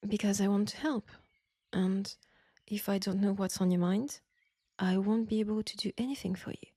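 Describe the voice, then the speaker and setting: A woman with a French accent playing a caring but firm wartime army nurse in an intimate roleplay, speaking softly and breathily in a coaxing way. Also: mid-range voice, fairly even intonation, normal speed, no room sound, studio quality. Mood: gentle concern. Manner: soft, breathy, gently coaxing. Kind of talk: intimate roleplay audio acting.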